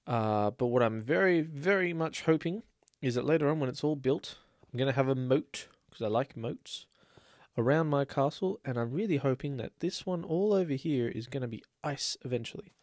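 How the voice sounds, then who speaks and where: A man's voice: medium pitch, normal speed, a little mellow, no room sound, studio quality. A man with a slight cold, chatting casually and rambling as he plays a building game. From Australia. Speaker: let's play host. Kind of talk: casual gameplay commentary.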